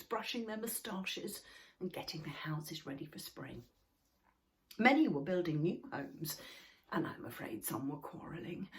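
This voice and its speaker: A woman reading a children's book aloud in an expressive way, sometimes dropping to a whisper. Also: mid-range voice, poor audio. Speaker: storybook reader.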